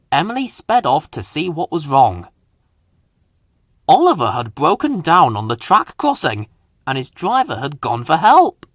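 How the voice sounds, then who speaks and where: A man reading a children's story aloud with dramatic, deliberate expression. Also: fairly high pitch, a bit bright, no room sound, studio quality. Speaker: storybook reader.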